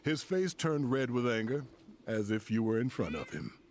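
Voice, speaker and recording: An actor playing a dignified, courteous senior commander in a video game, speaking formally and with measure. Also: male voice, medium pitch, very animated, a little mellow, slight background noise, lightly acted. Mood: gracious, calm. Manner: formal, measured, stately praise. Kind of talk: video game cutscene acting.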